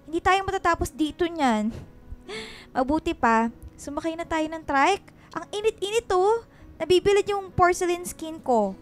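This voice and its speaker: A young Filipina sidekick in a radio drama, with a very high voice. She is vain, loyal and blunt, full of teasing exclamations. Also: animated, normal speed, pauses often. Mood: teasing, sassy. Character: sassy, vain, fiercely loyal young woman best friend.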